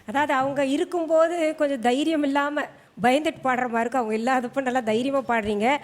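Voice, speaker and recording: A woman's voice: high-pitched, steady tone, a little fast. A woman giving spoken feedback as a judge at a singing audition, with music behind her. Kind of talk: unscripted spoken feedback to contestants.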